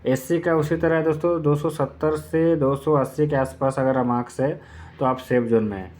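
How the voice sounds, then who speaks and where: A man's voice: on the high side, steady tone, moderate pace, darkish tone. A man gives an informal exam-prep explainer, going step by step with repeated points and filler phrases. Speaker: exam-prep YouTube presenter.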